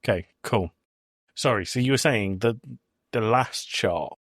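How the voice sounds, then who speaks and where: Male podcast co-host, lower-pitched voice, casual film discussion, very dry recording. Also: a little slow, a bit bright, breathy edge, no room sound.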